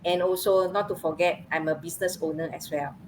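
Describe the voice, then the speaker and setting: A woman introduces herself in a plain, steady way. She uses a few fillers as she goes. Faint ambient noise sits in the background. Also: on the low side, brisk, poor audio. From Malaysia. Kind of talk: casual self-introduction.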